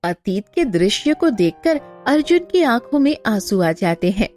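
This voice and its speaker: A woman's voice: fairly high pitch, sing-song, unhurried, a little mellow, soft background music. A woman narrating a scripted cartoon moral story as its storyteller.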